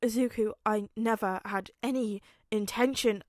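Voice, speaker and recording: A woman voicing a remorseful supervillain father in an audiobook, speaking slowly and haltingly with tender, pained emotion. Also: on the high side, steady tone, darkish tone, no echo, clean studio sound, lightly acted. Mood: pleading.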